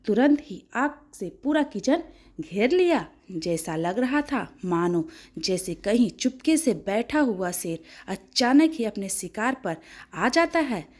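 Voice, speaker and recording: Woman, storyteller, dramatic narration, expressive and emotive, very animated pitch, occasional stumbles.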